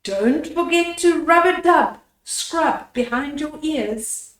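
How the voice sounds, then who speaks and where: A woman voicing a kindly old grandpa rabbit in a children's storybook, coaxing in a gentle sing-song manner. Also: high-pitched, lively intonation, slow pace, echoey room. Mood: gently coaxing. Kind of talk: children's storybook read-aloud.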